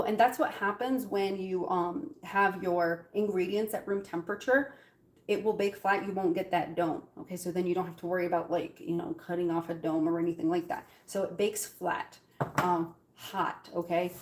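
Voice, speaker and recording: A woman with an Algerian Arabic accent and Arabic as her first language, chatting casually while she bakes in her home kitchen, with lots of fillers and giggles. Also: lowish voice. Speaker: cooking vlogger. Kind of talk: casual chat while baking.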